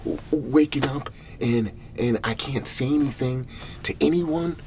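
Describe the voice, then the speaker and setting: A man playing a weary, desperate cleanup agent in an ASMR roleplay, pleading in halting, breathy whispers and murmurs. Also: medium pitch, darkish tone, a little hiss or hum, close to an everyday voice. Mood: anxious, pleading.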